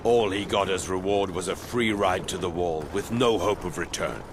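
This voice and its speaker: An actor playing a gruff, joking chief of a fantasy watch patrol in a video game, teasing and sardonic. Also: male voice, low voice, normal speed, background noise, natural voice. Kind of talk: video game cutscene acting.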